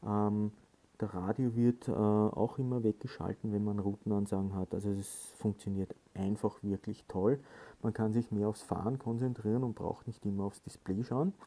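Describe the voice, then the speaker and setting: A man giving casual hands-on instructions in a halting way, with frequent filled pauses. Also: lowish voice, steady tone, mellow. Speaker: product tutorial presenter.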